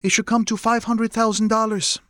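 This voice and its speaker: A man's voice: high-pitched, little pitch movement, normal speed, fairly bright tone, no room sound, clean studio sound, voice pushed up. A man voicing a middle-aged mother in an audiobook, anxious, regretful and tender, with careful, hesitant, formal phrasing. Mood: earnest, nervous. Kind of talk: audiobook character dialogue.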